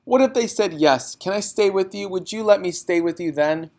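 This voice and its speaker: A man's voice: high-pitched, normal speed, darkish tone, pitched above the performer's own voice. A man voicing a distraught young girl in a storybook, exclaiming and pleading with urgency and eagerness. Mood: eager, urgent.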